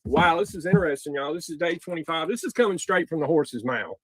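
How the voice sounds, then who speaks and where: A man's voice: on the high side, lively intonation, quick pace, dry recording. A man hosting a casual livestream, asking probing questions in an informal way. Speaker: host of a livestream taking calls from jail. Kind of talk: casual livestream interview chat.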